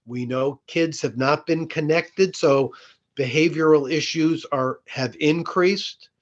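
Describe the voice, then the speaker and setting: Higher-pitched man, dull lo-fi audio. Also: takes it slowly. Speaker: school board chair. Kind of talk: informal meeting report.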